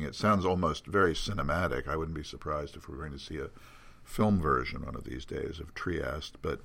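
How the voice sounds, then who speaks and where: An older man talking off the cuff about literary matters in erudite wording, halting often with ums and self-corrections. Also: rumbling low, vocal fry, a bit bright, slightly rough. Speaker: podcast guest editor previewing magazine contents. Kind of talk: unscripted literary talk.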